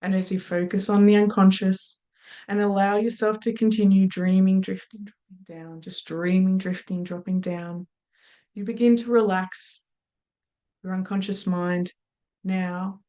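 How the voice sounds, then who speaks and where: A middle-aged woman, a hypnosis trainer, guiding a listener into trance in a slow, soft, trailing voice with repeated phrases. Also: mid-range voice, little pitch movement. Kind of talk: guided hypnotic induction.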